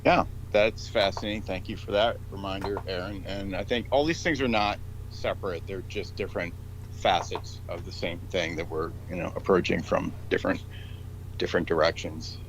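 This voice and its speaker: A man's voice: low voice, steady tone, moderate pace, a little hiss or hum, poor audio. A man speaking as a podcast guest, musing aloud with many fillers.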